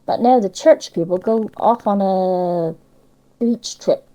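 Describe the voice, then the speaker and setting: A woman's voice: medium pitch, slow pace, mellow, dull audio. An older woman from Cornwall with a Cornish accent, chatting casually about her memories in dialect with lots of tag questions. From Cornwall, England. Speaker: interviewee sharing local memories. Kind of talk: casual reminiscing chat.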